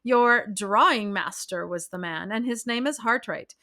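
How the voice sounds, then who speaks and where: A woman's voice: a little high, big pitch swings, fairly bright tone, voice pushed up. Woman reading a cold, cruel aristocratic husband. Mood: menacing. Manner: menacing, measured threats. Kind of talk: audiobook character reading.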